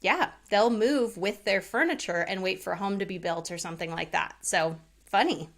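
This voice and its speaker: A woman's voice: mid-range voice, normal speed, fairly bright tone. A woman in her thirties from Hawaii, a rental investor chatting candidly and laughing easily as a podcast guest. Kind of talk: casual interview chat.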